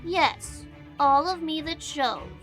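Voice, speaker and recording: A woman's voice: sits high, slow, fairly bright tone, faint music behind. A woman voicing a mischievous, hot-tempered young boy in a blustering, folksy way for an audio storybook. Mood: sullen defiance. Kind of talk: audio storybook character acting.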